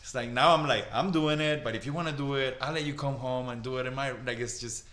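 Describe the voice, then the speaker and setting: A man from Colombia, a podcast guest and father, speaking earnestly and haltingly in casual conversation. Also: mid-range voice, steady tone, fairly bright tone, reverberant. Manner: earnest, halting, repeats words.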